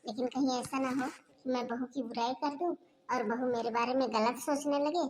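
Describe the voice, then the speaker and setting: A woman's voice: high voice, fairly even intonation, moderate pace, a little mellow, close to an everyday voice. A woman voicing a curious, cautious visiting sister-in-law in a homemade family skit, asking lots of questions.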